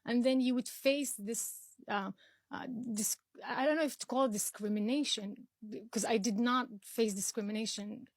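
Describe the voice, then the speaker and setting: A woman's voice: on the high side, steady tone, normal speed, dry recording. A young woman from Israel whose first language is Arabic, answering interview questions earnestly with frequent restarts and fillers. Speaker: interview guest.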